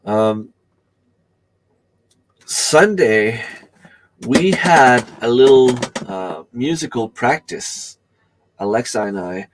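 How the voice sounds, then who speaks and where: A middle-aged man with a hoarse voice from a cold, chatting casually on a home live stream and greeting viewers by name. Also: on the low side, a little hiss or hum, poor audio. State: losing his voice from a cold. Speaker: channel host.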